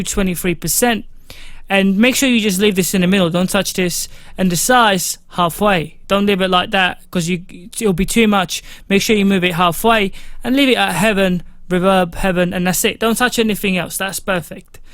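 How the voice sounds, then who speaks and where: Young man with a high, dry voice. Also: a bit bright, no room sound. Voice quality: fairly high voice. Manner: casual, instructive, many fillers. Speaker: music production tutor. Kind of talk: screen-recorded software tutorial. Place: small home studio, indoors.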